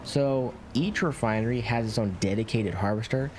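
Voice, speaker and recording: A man's voice: mid-range voice, normal speed, a little hiss or hum. A man giving casual, opinionated commentary on a game, talking informally. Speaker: gaming video creator. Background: game music.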